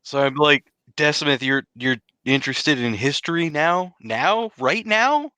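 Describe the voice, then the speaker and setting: Male tabletop player, bright forceful tone, casual in-character banter, dry recording. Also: a little high, lively intonation, a little slow, smooth, no room sound. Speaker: tabletop role-playing player.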